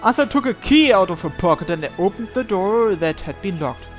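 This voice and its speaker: A man with a fairly high voice reads visual novel narration aloud as a let's play host. He sometimes stumbles or steps out to comment casually, while music plays behind him. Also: expressive, fairly quick. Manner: stumbles and breaks off to comment on the text. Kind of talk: reading story narration aloud with casual asides.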